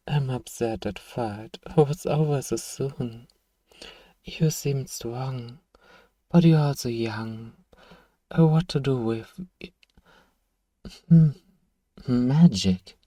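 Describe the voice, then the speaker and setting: Man playing a slow, hushed, menacing vampire seducer. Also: medium pitch, no echo, far from the mic, natural voice. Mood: taunting menace, then curious, mocking. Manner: slow, hushed, taunting, condescending, lapses into whispers. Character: powerful elder male vampire, suave, menacing, manipulative seducer. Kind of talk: ASMR roleplay monologue.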